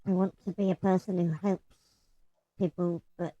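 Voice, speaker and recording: A dull, dry recording of a woman with a dark, mellow voice on the lower side. She is a player in a tabletop game, chatting casually and lightly speaking for her character. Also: level tone, moderate pace, no echo. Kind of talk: casual chat, light roleplay.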